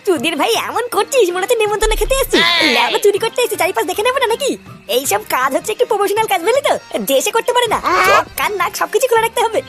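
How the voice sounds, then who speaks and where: A cheeky, scheming Bengali cartoon kid in a very high-pitched, fast voice. The delivery is pushy, crude and exclamatory, over clear background music. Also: expressive. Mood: bossy. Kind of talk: Bengali cartoon comedy voice acting. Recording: music behind.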